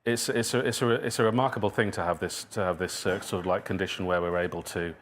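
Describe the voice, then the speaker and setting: Low-voiced man thinking aloud at a talk. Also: steady tone, fairly bright tone, a little hiss or hum. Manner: halting, circling and repeating phrases, chuckles at own remarks. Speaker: speaker, writer of audio drama. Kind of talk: conversational public talk answering audience questions. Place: indoor public event venue.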